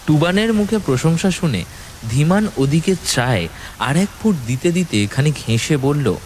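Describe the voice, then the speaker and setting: A man's voice: fairly high pitch, animated, moderate pace, a little mellow, slight background noise. A man narrating a ghost story as a scripted audio drama, in a dramatic storytelling style. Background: background music.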